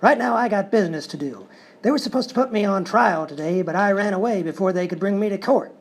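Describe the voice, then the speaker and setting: A man playing a short, cocky, deadpan cowboy outlaw in a live radio-style comedy sketch. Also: high-pitched, quick pace. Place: live performance in a hotel venue.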